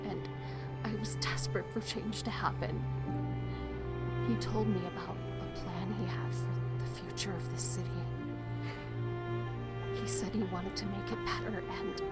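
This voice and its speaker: A woman's voice: medium pitch, fairly even intonation, a little slow, pauses often, over loud music, lightly acted. A woman playing a guilt-ridden, defiant young rich heiress in an audio drama, intense and emotional. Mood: subdued, regretful.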